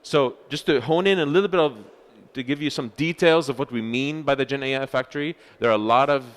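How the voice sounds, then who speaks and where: A man giving a conference talk as chair of a research center, speaking loudly into a microphone with frequent filler sounds and restarts. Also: mid-range voice, fairly quick. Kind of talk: conference presentation. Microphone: handheld or stage microphone.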